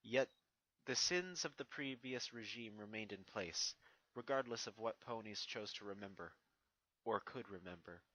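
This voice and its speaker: A man's voice: lowish voice, moderate pace, pushed and bright, no room sound, poor audio. A man who reads fan fiction aloud, in casual introductions and narrated story passages.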